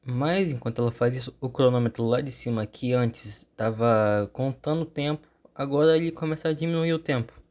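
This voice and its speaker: A man's voice: medium pitch, a little fast, a little mellow. Brazilian man narrating a horror story. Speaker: YouTube channel host. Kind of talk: informal scripted storytelling narration.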